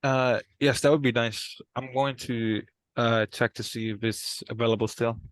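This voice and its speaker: A man playing a reticent, agreeable brother in an improvised session over a video call, speaking haltingly. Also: medium pitch, fairly even intonation, lightly acted. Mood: mild, tentative. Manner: halting, hesitant. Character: reticent brother, an aspiring drummer, mild and agreeable. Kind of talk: improvised role-play dialogue.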